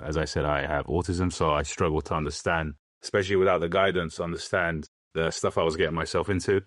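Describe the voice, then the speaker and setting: Man, extremely deep pitch, podcast guest, personal account, dry recording. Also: a bit bright, dry recording. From London, England. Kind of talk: interview answers, personal account.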